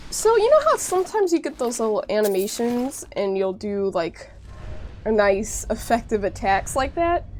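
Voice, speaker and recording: A woman's voice: a little high, sing-song, moderate pace, slight background noise. A woman streaming a game, chatting casually and directly to her viewers, sounding congested from a cold. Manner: chatty, talks straight to viewers with lots of "like". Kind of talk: casual live-stream gameplay chat.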